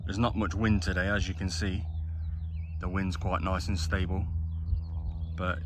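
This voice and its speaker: A man's voice: sits low, little pitch movement, measured pace. A man in his thirties talking casually to his viewers as a vlogger, in an informal, chatty, repetitive way. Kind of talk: casual vlog commentary to viewers. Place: outdoors in open countryside and inside a car. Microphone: phone camera. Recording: noisy outdoor and in-car phone recording.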